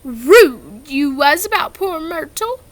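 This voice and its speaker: A woman's voice: high-pitched, very animated, slow, no echo, voice pushed up, full character voice. A woman voicing a mischievous male poltergeist in a storybook reading, with sly, sing-song taunting.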